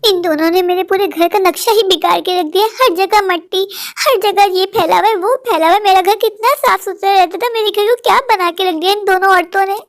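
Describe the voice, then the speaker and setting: A domineering older mother-in-law, acted out by a woman, who nags her household and pities herself. The voice sits high and piercing, and she scolds in a comic family-drama style. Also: brisk. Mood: grumbling. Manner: scolding, complaining, shouting for her daughters-in-law. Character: bossy older woman, a nagging self-pitying mother-in-law. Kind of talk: comic family skit acting.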